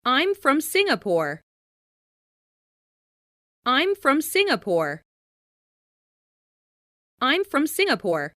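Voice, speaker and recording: A woman reading scripted English example sentences for language learners, enunciating carefully and deliberately. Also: high voice, wildly swooping pitch, fairly bright tone, no echo, clean studio sound. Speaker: English lesson narrator.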